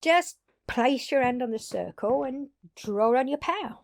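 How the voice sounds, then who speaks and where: A woman playing a brash, excitable undead vampire in an audio drama, talking casually and expressively straight to the listener with salty language and dramatic pauses. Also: high voice, dry recording, studio quality. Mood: casual, upbeat. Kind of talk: intimate audio drama roleplay addressed to listener.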